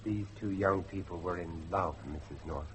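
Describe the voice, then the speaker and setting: A middle-aged man acting a determined father and judge in old-fashioned radio drama style, with a dramatic, deliberate delivery. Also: deep voice, a little hiss or hum, lightly acted. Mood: firm, probing. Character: grave, determined father, a judge seeking his missing son.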